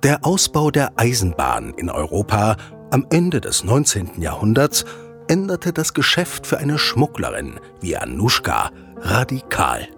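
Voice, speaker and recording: A man's voice: on the low side, huge pitch swings, a little slow, a bit bright, faint music behind. A man narrating an audio play in a scripted storytelling style.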